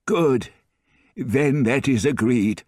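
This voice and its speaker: A man voicing an elderly grandfather who speaks slowly, fondly and with emphasis. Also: mid-range voice, a little mellow, no room sound, studio quality, voice pushed up. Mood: gracious. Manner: slow, fond and emphatic. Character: doting elderly grandfather, tearful and warm.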